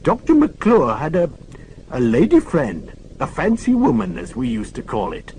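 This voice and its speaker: A woman's voice: on the low side, wildly swooping pitch, unhurried, vocal fry, warm tone, a little hiss or hum, voice pushed up, full character voice, low-quality recording. A man reading an audiobook, voicing a deaf former head nurse in her eighties who talks in a precise, lecturing way. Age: 80s.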